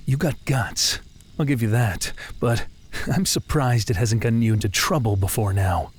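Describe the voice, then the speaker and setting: A man's voice: mid-range voice, measured pace, slightly rough. An actor playing a guarded, self-deprecating young American working-class man in an audio drama, with a slangy, laconic way of talking. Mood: amused. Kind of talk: naturalistic audio drama dialogue. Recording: music playing behind the voice.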